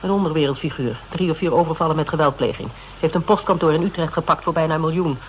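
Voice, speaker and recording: A man's voice: fairly high pitch, quick pace, close to an everyday voice. Man acting a probing detective, slightly noisy recording. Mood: probing, alert. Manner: methodical questioning, clipped phrases. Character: probing, level-headed detective piecing a case together. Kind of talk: Dutch radio drama acting. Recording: noisy old radio drama recording.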